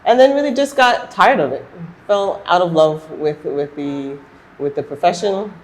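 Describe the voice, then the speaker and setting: A woman's voice: on the low side, lively intonation, normal speed, rough recording. A woman from Canada, answering interview questions in a conversational way. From born in Montreal, Canada, with years spent in Trinidad. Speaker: guest, entrepreneur and former aerospace engineer. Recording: light background noise.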